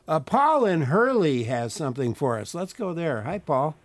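A man's voice: a little high, big pitch swings, moderate pace, no room sound. A man hosting a call-in radio show, friendly, with some hesitant filler. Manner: friendly, fills gaps with hesitations. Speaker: radio talk show host.

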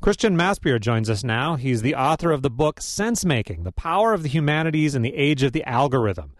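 A man hosts a public radio interview show, reading scripted introductions in a steady, measured way. His voice sits mid-range for a man. The studio sound is close and dry. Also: medium pitch, dry recording. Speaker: radio host.

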